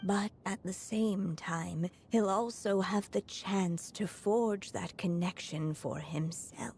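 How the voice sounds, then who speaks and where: An actress playing a gentle, earnest mother and writer in a video game, speaking softly and in measured phrases. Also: mid-range voice, a little hiss or hum. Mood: earnest, hopeful. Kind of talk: scripted video game voice acting.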